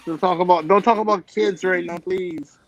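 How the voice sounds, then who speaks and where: A man in his forties in a casual group chat, animated and fond of rhetorical questions. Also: high voice, normal speed. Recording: dull lo-fi audio.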